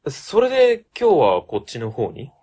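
A man playing an earnest, polite young rookie civil servant in a comedic radio drama, quick to react. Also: mid-range voice, wildly swooping pitch, dark tone, slightly rough. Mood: friendly. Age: young adult.

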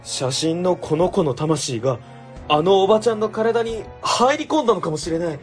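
A man playing an earnest, polite young junior office worker who explains things excitedly in a comic radio drama. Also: sits high, moderate pace, soft background music. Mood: excited theorizing. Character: earnest, eager, polite young male junior civil servant.

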